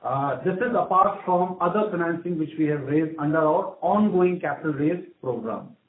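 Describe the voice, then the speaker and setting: A man's voice: a little high, lively intonation, moderate pace. A man briefing investors as a finance executive on an earnings call, hesitating and repeating words as he goes. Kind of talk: semi-scripted earnings call presentation. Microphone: conference call phone line. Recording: echoey, tinny phone line.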